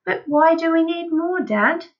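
A woman's voice: very high-pitched, animated, unhurried, muffled recording, pitched above the performer's own voice, exaggerated voice. A woman voicing an earnest, doubtful little boy in a children's storybook, questioning and pleading to be heard. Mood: puzzled, questioning. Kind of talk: dramatised children's storybook reading.

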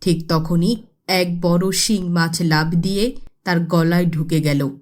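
A woman's voice: lowish voice, steady tone, slow, a little mellow, pitched below the performer's own voice. A woman playing a pious poor village girl in a cartoon, earnest and preachy. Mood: grave storytelling.

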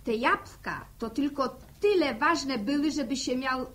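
A middle-aged farm wife in a vintage radio serial. Her voice sits high, and she is impatient and blunt, pushing into the talk with folksy emphasis. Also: lively intonation, unhurried, fairly bright tone. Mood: explaining. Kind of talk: 1960 radio drama acting.

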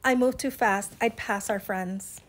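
A woman's voice: medium pitch, a little slow, close to an everyday voice. A woman voicing a hasty, perky storybook rabbit who is eager but full of excuses. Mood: breezy excuses.

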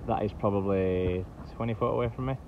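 Rambling man vlogging outdoors, lowish voice, dull camera sound with wind and water. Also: fast. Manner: chatty, rambling, frequent "you know". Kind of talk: casual outdoor vlog chat. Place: outdoors, kayak at sea then beach. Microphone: action camera.

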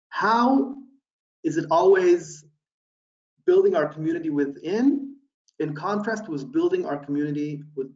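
A man in his thirties from Houston giving a relaxed webinar presentation from a small room, with many ums and uhs as he thinks aloud over a laptop webcam. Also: fairly high pitch. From USA (Houston, Texas). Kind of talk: casual online presentation. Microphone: laptop webcam mic, video call. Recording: roomy, distant, dull webcam audio.

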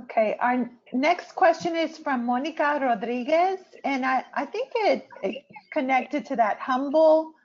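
A woman moderates a webinar and reads audience questions aloud. Her voice is high and upbeat, and the audio is dull. She comes through from a little farther off than the others. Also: measured pace. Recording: lo-fi video call audio.

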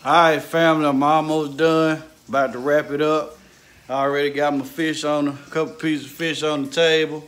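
A man's voice: a little high, level tone, normal speed, a bit bright. Laid-back man hosting a family cooking vlog. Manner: laid-back, joking, addresses viewers as family. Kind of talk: casual family vlog chat.

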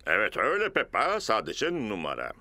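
A man voicing an excitable, earnest cartoon rabbit tour guide with a theatrical, instructive delivery. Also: lowish voice, fairly quick, noisy background. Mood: brisk, announcing. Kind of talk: animated cartoon dub acting.

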